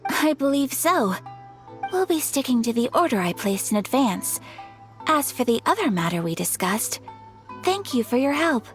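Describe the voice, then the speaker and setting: A woman's voice: on the high side, very animated, moderate pace, faint music behind. A woman playing a polite, refined young noblewoman in a video game, formal and a bit hesitant.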